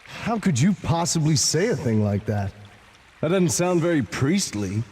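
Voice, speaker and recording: A brash young thief with a high voice that swings up and down, sarcastic and ready to pick a fight. A long reverb and faint ambient noise surround him in a stone hall. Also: male voice, big pitch swings, a little slow, a little mellow, slightly rough, exaggerated voice. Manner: sarcastic and confrontational. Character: brash young man, a thief and fortune seeker. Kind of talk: video game cutscene acting.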